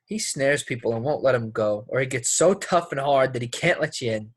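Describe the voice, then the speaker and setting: A man's voice: medium pitch, animated, brisk, dry recording, lightly acted. A troubled teenage boy played by a man, defensive and guarded, talking in plain folksy phrases. It is stage play dialogue, recorded close and very dry. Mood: bitter. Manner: guarded, folksy ungrammatical talk. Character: troubled, defensive teenage boy, small-town drama student. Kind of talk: play readthrough, dramatic dialogue.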